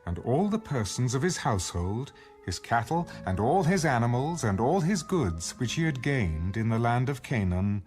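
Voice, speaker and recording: A man giving a formal, measured scripted narration of scripture, as in an audio Bible. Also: medium pitch, very animated, a little mellow. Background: background music.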